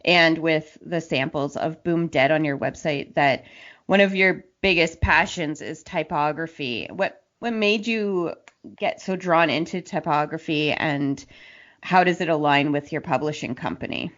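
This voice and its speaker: A woman with a fairly low voice hosts a podcast interview. She talks conversationally, and the recording sounds a little dull and lo-fi. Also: unhurried, a bit bright.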